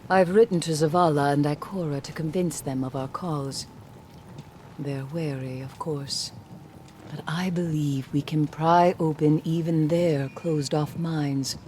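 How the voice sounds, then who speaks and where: An actress playing an earnest, composed woman leader, speaking formal, measured lines in a video game. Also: deep voice, mellow, a little hiss or hum. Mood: earnest, concerned. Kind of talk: scripted video game dialogue.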